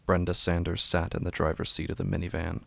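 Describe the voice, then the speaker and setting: A man with a gravelly, creaky voice giving brooding, hushed, literary narration in a horror audio drama. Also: sits low, monotone, a little fast, dry recording. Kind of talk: scripted literary narration, solemn and slow.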